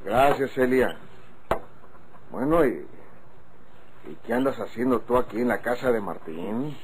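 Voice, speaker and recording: A man's voice: mid-range voice, expressive, unhurried. Chatty, suspicious Mexican ranch man, swooping intonation, old radio drama with slight hiss. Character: Don Otilio, chatty wary male rancher. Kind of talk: golden-age Mexican radio drama acting. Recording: faintly noisy old radio recording.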